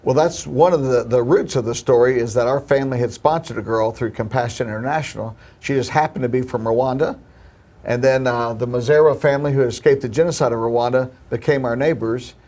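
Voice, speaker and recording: A man's voice: medium pitch, a little fast, darkish tone. A man, a film producer, answering interview questions in an earnest, hopeful way with occasional restarts.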